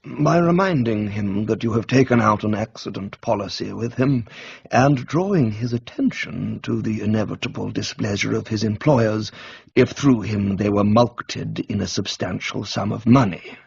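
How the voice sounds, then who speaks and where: Unflappable English manservant a man performing: formal, deadpan, gravelly and measured, radio storybook comedy, slightly dull sound. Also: lowish voice, voice pushed down, full character voice. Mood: calm, assured. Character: unflappable, deferential English gentleman's valet, male. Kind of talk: dramatised comic audiobook reading for radio.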